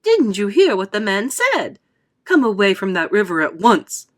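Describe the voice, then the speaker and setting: A woman's voice: medium pitch, sing-song, normal speed, close to an everyday voice. A woman voicing a frantic, bossy mother in a storybook reading, screaming her urgent commands. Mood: scolding.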